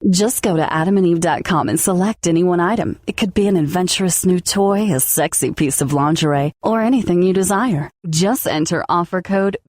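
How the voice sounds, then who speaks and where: A woman's voice: on the low side, brisk, few pauses, darkish tone, dry recording, studio quality. A woman announcer reading a scripted radio advertisement with an enticing sales delivery.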